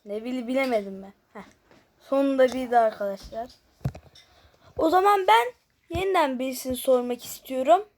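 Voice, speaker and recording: A woman's voice: sits high, moderate pace, pauses often. A woman host casually chatting through a guessing game, shifting between muttered asides and chattier remarks to viewers. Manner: alternates muttered asides, often near-whispered, with louder chatty remarks to viewers. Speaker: host of a gaming video. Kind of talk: casual chat while playing a guessing game. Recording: noticeable background noise with clicking.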